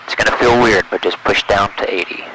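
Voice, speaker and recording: A man's voice: lowish voice, steady tone, fairly quick. Encouraging male flight instructor, noisy cockpit. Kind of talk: calm step-by-step flying instruction with radio calls. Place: small airplane cockpit in flight. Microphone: aviation headset. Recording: loud aircraft cabin noise, intercom audio.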